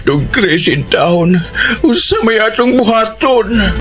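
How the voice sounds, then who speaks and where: An actor playing an anxious, humble helper in a radio drama, expressive and hesitant, with a Filipino accent. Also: male voice, sits high, takes it slowly. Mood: concerned. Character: anxious, humble male helper, respectfully addressed as elder brother. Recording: radio drama with music behind.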